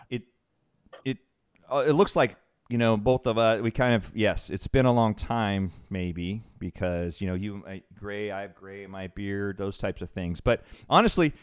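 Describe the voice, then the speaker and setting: A man's voice: mid-range voice, clean tone, dull audio. A middle-aged man hosting a casual show, rambling loosely with many fillers as he introduces his guest. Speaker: podcast host. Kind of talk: casual chat.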